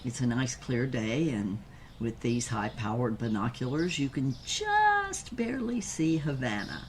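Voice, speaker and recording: A woman's voice: rumbling low, big pitch swings, a little slow, a little hiss or hum, full character voice. An actress playing a brassy, worldly old former film starlet, telling her story in a knowing, theatrical way in an audio drama. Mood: nostalgic, knowing.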